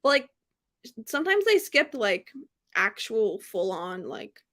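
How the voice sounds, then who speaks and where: A woman hosting a book readalong, chatting casually and excitedly, with an emphatic, gushing way of repeating herself. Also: fairly high pitch, measured pace. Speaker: host of a book readalong live stream.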